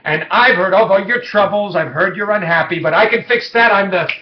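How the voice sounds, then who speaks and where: A man voicing a slick, brash, persuasive salesman creature from a children's storybook, with a showy sales-pitch delivery. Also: a little fast, close to an everyday voice. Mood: eager pitch. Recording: muffled lo-fi, echoey room.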